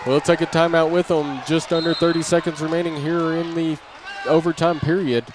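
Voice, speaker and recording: A man with a fairly high voice calls a basketball game live. He speaks in clipped, present-tense bursts as the play moves, like a radio play-by-play announcer. The recording is a noisy broadcast from the gym. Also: steady tone, a little fast. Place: indoor gymnasium during a basketball game.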